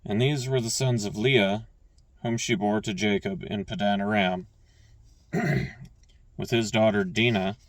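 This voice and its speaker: Man reading scripture, slow and unhurried, trips over names, dry distant mic. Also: fairly low pitch, no room sound. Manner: stumbles and restarts on names, clears throat. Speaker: scripture reader.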